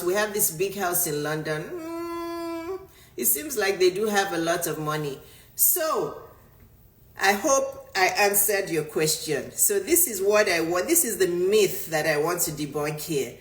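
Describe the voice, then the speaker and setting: A middle-aged woman business coach from Nigeria, a native Yoruba speaker, giving an emphatic motivational talk in which she repeats her points for stress. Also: on the low side. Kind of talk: motivational talk answering a viewer. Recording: roomy echo.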